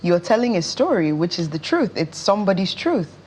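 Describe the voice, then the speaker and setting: A woman's voice: on the low side, sing-song, a little fast, darkish tone, slight background noise. A woman answering as a performer guest in a casual television interview. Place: television studio.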